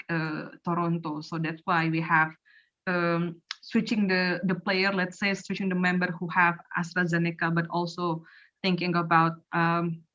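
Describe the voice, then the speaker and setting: A woman from Indonesia, a native Indonesian speaker, hosts a casual discussion in a fairly low voice. Her pitch stays quite flat, and the audio is a little dull and lo-fi. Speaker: host and curator. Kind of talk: casual online panel talk. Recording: slightly dull lo-fi online audio.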